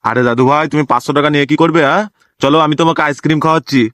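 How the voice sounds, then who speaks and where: Man voicing a high-pitched, rapid-fire grumpy cartoon grandpa. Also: steady tone, a bit bright, no echo, natural voice. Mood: coaxing. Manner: scolding, blustering. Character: irascible foul-mouthed old cartoon grandfather. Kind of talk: comic cartoon dubbing.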